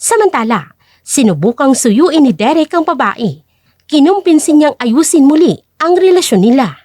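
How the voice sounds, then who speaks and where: A woman's voice: high voice, big pitch swings, normal speed, a little mellow, dry recording, clean studio sound. A woman narrating a scripted story dramatically as a storyteller and host.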